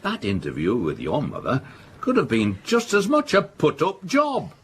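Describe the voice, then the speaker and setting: A man's voice: mid-range voice, wildly swooping pitch, normal speed, fairly bright tone, a little hiss or hum. An older man playing a self-assured, blustering father in a period radio drama, arguing emphatically and dismissively. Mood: triumphant bluster. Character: blustering, self-assured middle-aged father of a well-to-do family. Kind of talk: period stage-play radio drama acting.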